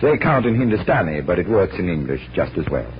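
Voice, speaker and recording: A man's voice: low-pitched, big pitch swings, fast. An actor playing a confident, genial magician detective in 1940s radio style, instructing like a theatrical showman. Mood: genial explaining. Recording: dull, noisy vintage radio recording.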